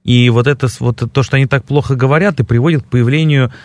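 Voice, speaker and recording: A man's voice: medium pitch, steady tone, fast, darkish tone, no echo. A man hosting an educational radio talk show in a studio, speaking with frequent hesitations and filler sounds. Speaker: radio host. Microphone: studio microphone.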